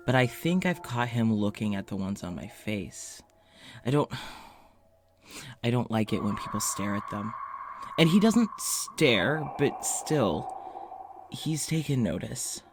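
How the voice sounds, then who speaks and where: A man's voice: medium pitch, big pitch swings, takes it slowly, long pauses, background noise. A man playing an anxious, self-doubting scientist in a confessional audio drama monologue, speaking hesitantly with sighs and self-questioning. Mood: conflicted, wistful. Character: anxious, self-doubting male scientist who studies creatures, secretly undying. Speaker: lead voice actor in a fiction podcast. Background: music.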